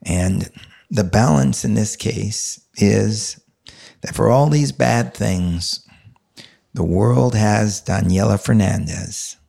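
A man with a gravelly voice hosting a conversational podcast interview. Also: on the low side, slow, dark tone. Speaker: interview host.

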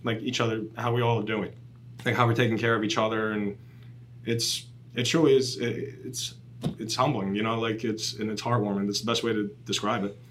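A man's voice: fairly low pitch, brisk, a little hiss or hum. A man in his late twenties, a police officer guest, chatting casually on a podcast and acting out quotes as he tells stories. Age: 20s. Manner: animated storyteller, acts out quotes.

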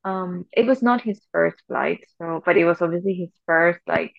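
A woman from Venezuela in her thirties chats casually on a podcast, with a gravelly, fry-tinged voice. She rambles with fillers and restarts, recorded dry and a little dull. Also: on the low side, normal speed, no room sound. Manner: chatty, many fillers and restarts. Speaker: podcast co-host. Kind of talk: casual chat.

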